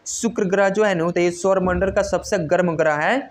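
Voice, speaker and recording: A woman's voice: lowish voice, normal speed. A woman teaches in a quiz-style exam lesson, reading out questions and stressing each answer repeatedly in an instructional way. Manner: instructional, repetitive emphasis on key answers. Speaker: exam coach.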